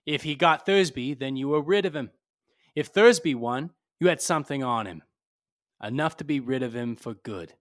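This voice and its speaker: An actor playing a tough, cynical private detective in 1940s radio drama style, blunt and clipped. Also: male voice, mid-range voice, lively intonation, moderate pace, lightly acted. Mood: hard, angry. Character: hard-boiled cynical male private detective, tough and unsentimental.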